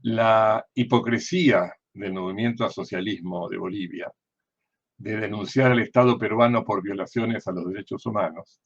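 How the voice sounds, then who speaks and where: An older man with an Argentine accent giving political commentary in a deliberate, didactic way, often posing questions and answering them himself. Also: fairly low pitch, slightly rough, muffled recording. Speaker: former diplomat and political analyst.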